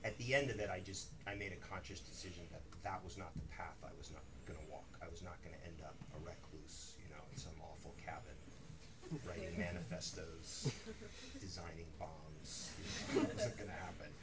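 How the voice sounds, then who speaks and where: A man's voice: low-pitched, fairly even intonation, fairly quick. A man, an author at a bookstore event, speaking thoughtfully in a rambling way with many restarts and asides. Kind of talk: live talk with audience Q&A. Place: bookstore reading room. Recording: distant mic in a noisy room.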